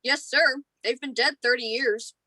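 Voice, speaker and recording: A woman's voice: sits high, fairly even intonation, measured pace, pushed and bright, dry recording, full character voice. A woman acting an emotional, eager young male space lieutenant in a radio play, with an earnest, pleading manner. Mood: happy, eager. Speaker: actor in a student radio play.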